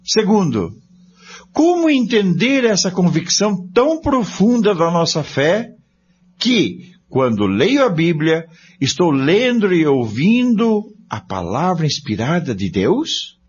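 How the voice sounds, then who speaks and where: An actor playing an earnest, polite study-group member in a scripted radio drama, speaking in a deliberate, declamatory manner. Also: male voice, high voice, sing-song, exaggerated voice. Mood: solemn, questioning. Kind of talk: scripted amateur radio drama, reading aloud. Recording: dull lo-fi recording, noisy hum.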